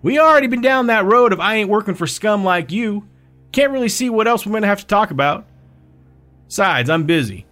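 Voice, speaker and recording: A man's voice: on the high side, lively intonation, fairly quick, few pauses, pushed and bright, faint music behind. A man voicing a hot-headed, injured tough guy who snaps threats and demands answers. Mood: angry. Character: hot-headed tough male runabout, bruised and belligerent.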